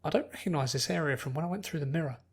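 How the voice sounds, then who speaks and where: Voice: medium pitch, racing, slightly rough. A narrator voicing an anxious, bookish young woman who speaks carefully and earnestly in an acted audiobook. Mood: thoughtful. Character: anxious, bookish pony princess turned human young woman.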